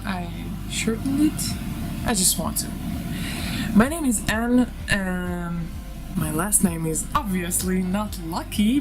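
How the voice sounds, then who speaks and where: Russian-accented woman vlogger chatting over music. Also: mid-range voice. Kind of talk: casual chat to camera. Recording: music behind the voice. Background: background music.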